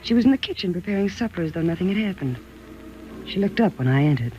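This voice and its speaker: An actress playing a frightened, distraught wife in a 1940s radio thriller, speaking in an earnest, halting, dramatic way. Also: female voice, fairly low pitch, lively intonation, dark tone, highs cut off, close to an everyday voice. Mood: tense, reflective. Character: frightened, distraught wife narrating her own ordeal. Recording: noisy vintage 1940s radio recording.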